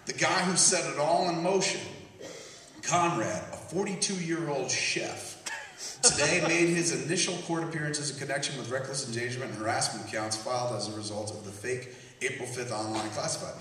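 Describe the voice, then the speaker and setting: A man's voice: mid-range voice, moderate pace, a bit bright, a little hiss or hum. A man hosting a live comedy podcast, reading news stories aloud and riffing on them with profane, mocking voices. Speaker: podcast host. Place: campus stage before a live audience. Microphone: hand-held camera recording from the audience. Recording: echoey hall, camera from far off. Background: laughing crowd.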